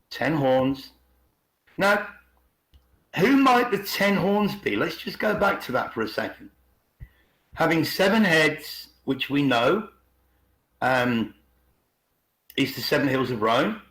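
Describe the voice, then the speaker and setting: Man, higher-than-average voice, informal commentary, rhetorical questions, emphatic repetition, echoey video call. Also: a bit bright. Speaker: video channel host.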